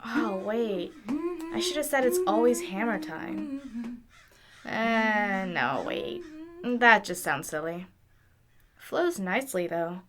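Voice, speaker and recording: A woman's voice: fairly high pitch, expressive, slow pace, long pauses, crowd noise. A woman acting in an audio drama as an earnest, self-assured young female engineer, wry and nerdy, with a musing way of talking. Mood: wry, cautioning. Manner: wry, musing, talks to herself.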